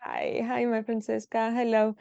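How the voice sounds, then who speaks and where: Woman, 30s, smooth clear voice, dry muffled recording, hesitant, emotional. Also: fairly high pitch, little pitch movement, normal speed, mellow, no room sound. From Greece. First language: Greek. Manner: hesitant, emotional, frequent fillers. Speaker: podcast guest. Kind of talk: personal interview.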